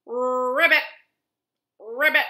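A woman's voice: sits high, fairly even intonation, drawn-out words, pushed and bright, echoey room, pitched above the performer's own voice, exaggerated voice. A woman voicing a small pink storybook pig who contentedly repeats short animal noises. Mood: loud, blithe.

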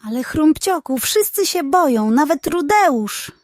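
A woman's voice: lively intonation, measured pace, dry recording. A woman voicing a timid, panicky male rabbit in a children's bedtime story, breathless and urgent. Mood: desperate pleading. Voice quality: high-pitched, breathless. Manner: frantic, urgent exclamations.

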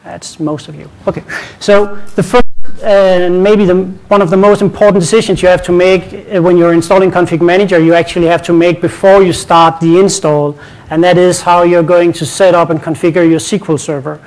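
A man with a Danish accent presents a technical session from a conference stage. His voice sits fairly high for a man. He talks casually, often repeats words and slips in light jokes. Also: fairly even intonation, fairly quick, darkish tone, low-quality recording. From Denmark.

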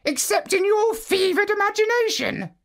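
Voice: very high-pitched, lively intonation, normal speed, a bit bright, pitched above the performer's own voice, full character voice. A storyteller voicing a pompous, learned male parrot who talks down in a fussy, lecturing way. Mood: patronizing.

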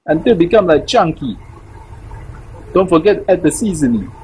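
A man's voice: fairly high pitch, sing-song, moderate pace, darkish tone, breathy edge, low-quality recording. A man hosting a casual recipe tutorial, chatty with simple phrasing and playful sing-song repeats. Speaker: cooking show host. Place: small indoor room.

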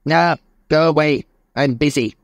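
A man's voice: on the high side, a little slow, dry recording, pitched above the performer's own voice, natural voice. A man voicing a mean, solitary old miser in a storybook reading, with a hard voice and a curt, scornful, dismissive manner. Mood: angry, dismissive. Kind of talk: audiobook character reading.

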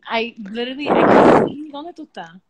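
A woman in her twenties talks casually to viewers from her bedroom at night, her phone held close. Her voice sits slightly high, and she is bubbly and affectionate, often breaking into giggles. It feels loose and unscripted. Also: moderate pace, heavy background noise. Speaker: livestream host. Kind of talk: casual livestream chat.

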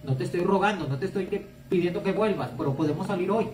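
A woman's voice: lowish voice, quick pace, over music. Blunt Mexican woman psychic, echoey room. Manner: blunt, outspoken, scolding advice. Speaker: psychic and tarot reader. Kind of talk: casual live chat. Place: home room, indoors. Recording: echoey room, far from mic.

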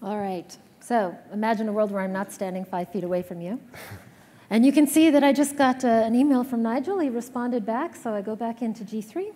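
A woman's voice: on the high side, brisk, warm tone. A woman co-presenting a live product demo on a conference stage, chatty and correcting herself as she goes. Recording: long reverb of a big hall.

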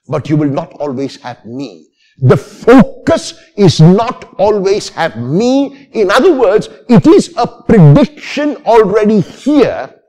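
An older man preaching a homily in a church sanctuary. His voice sits high for a man and moves widely up and down, yet the delivery is slow and unhurried. The tone is mellow. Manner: animated preaching with sweeping arm gestures. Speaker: priest. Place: church sanctuary at a lectern, indoors.

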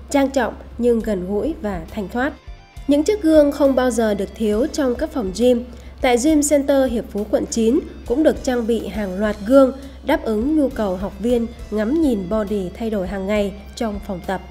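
A woman's voice: on the high side, dark tone. A woman reading a scripted promotional voice-over as a narrator. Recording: voice over background music. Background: music.

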